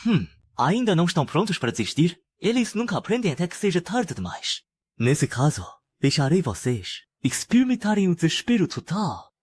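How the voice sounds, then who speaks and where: Cocky, animated schemer voiced by a man. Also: high voice, normal speed, husky, dry recording, full character voice. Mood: smug, menacing. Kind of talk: dubbed motion comic drama.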